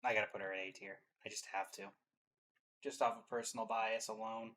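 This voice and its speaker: A man's voice: fairly low pitch, fairly even intonation, brisk, a bit bright, a little hiss or hum. A man giving casual, playful and enthusiastic commentary as a reaction show host.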